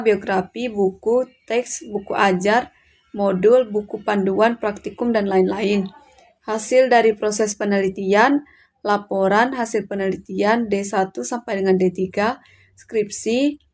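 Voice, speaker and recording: A woman presents a student assignment in a mid-range voice. She reads from a prepared text, reciting point after point. Her delivery is that of someone reading aloud, with occasional stumbles over words. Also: mid-range voice. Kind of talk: scripted lesson narration, read aloud.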